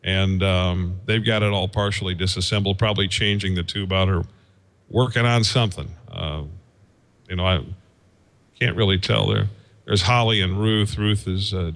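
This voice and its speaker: A man giving an informal technical explanation as a guest engineer, in a halting, folksy way, thinking aloud as he goes. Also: deep voice, steady tone, fairly bright tone. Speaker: guest, radio station engineer.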